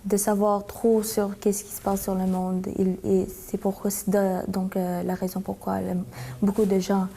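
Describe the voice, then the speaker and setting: A sixteen-year-old girl from the Philippines recounts a memory in an animated, rambling way. Her voice is mid-pitched and mellow, and she talks with a lively, eager push. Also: a little slow, gravelly, a little hiss or hum. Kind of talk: casual testimony.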